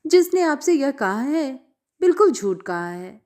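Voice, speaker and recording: Woman reader doing a hesitant young man, subdued, pitched a bit up. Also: very animated, a little slow, a little mellow. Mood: timid. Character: timid young man, a cowardly son.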